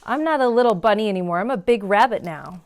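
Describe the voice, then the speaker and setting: A woman's voice: medium pitch, animated, fast talker, lightly acted. A woman voicing a young boy rabbit from a picture book, pleading and insisting that he is grown up. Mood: proudly insistent. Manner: pleading, yelping protests.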